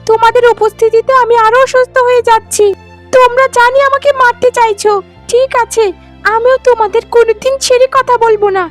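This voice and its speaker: A woman's voice: very high-pitched, level tone, brisk, soft background music. A woman voicing a sickly, stubborn youngest wife in a cartoon melodrama, with an agitated, wailing delivery. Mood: angry, accusing.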